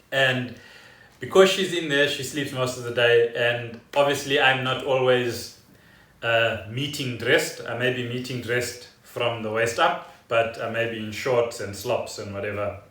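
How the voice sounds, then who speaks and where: A man's voice: medium pitch, fairly bright tone. A South African man chatting casually and loosely as a podcast host. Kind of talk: casual rambling chat. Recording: echoey room, distant microphone.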